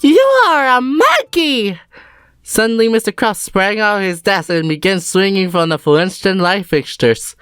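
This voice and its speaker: A woman's voice: mid-range voice, normal speed, hardly any pauses, smooth, no room sound, clean studio sound. A woman reading a children's story as a scripted narrator.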